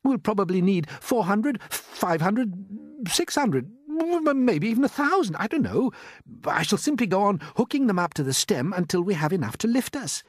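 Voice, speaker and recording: Man playing an earnest, determined boy, raised pitch. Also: sing-song, moderate pace, dry recording, studio quality.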